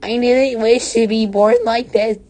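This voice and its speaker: A woman's voice: fairly high pitch, steady tone, a little slow, dark tone, voice pushed up, lightly acted. A woman voicing a miserable, melodramatic outcast schoolgirl in a story reading, with overwrought, wailing delivery. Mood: wounded, self-pitying.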